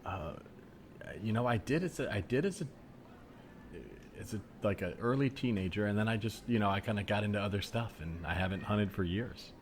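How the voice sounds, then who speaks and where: Guy playing a kinda intense meat eater dude, low voice, halting and earnest, improv comedy. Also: a little hiss or hum, lightly acted. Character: laid-back meat-eating man, former hunter, a bit intense.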